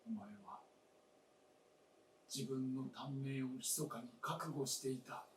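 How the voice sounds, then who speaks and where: A man's voice: medium pitch, flat delivery, natural voice. Man acting a frail, grieving old husband, roomy stage. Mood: tender regret. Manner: halting, hushed, breathy murmurs with emotional surges. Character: grieving, frail old husband longing for his late wife. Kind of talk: live staged radio drama acting. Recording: roomy stage, distant mic, faint noise.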